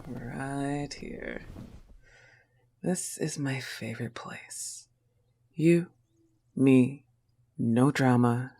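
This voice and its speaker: Slow, husky-creaky, affectionate wolf girlfriend played by a woman. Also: very deep voice, darkish tone, full character voice. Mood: possessive, cozy. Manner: tender and teasing, often dropping to a breathy whisper. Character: affectionate, possessive, teasing goth wolf-girl girlfriend. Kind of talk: intimate girlfriend roleplay audio, soft scripted monologue.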